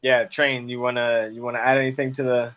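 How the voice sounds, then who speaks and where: A man's voice: medium pitch, little pitch movement, a little fast, fairly bright tone. A man hosting a casual sports discussion in a conversational, colloquial way. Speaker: boxing show host.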